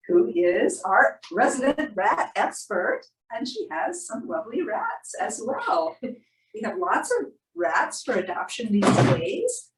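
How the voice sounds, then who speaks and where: A woman reads aloud in an expressive storybook manner. Her voice is fairly high and very lively, taken at a slow pace with stretched emphasis. She sounds distant, in an echoey room. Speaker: animal shelter presenter reading a picture book. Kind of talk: children's storybook read-aloud. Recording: roomy, distant microphone.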